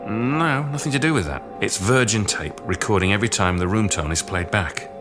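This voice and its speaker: A wry male sound researcher in a radio drama, played by an actor. He speaks in a fairly low voice with dry, grumbling humour, over clearly heard music. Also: normal speed, natural voice. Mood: intent, explaining. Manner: sardonic, dry-witted. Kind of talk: naturalistic radio drama dialogue.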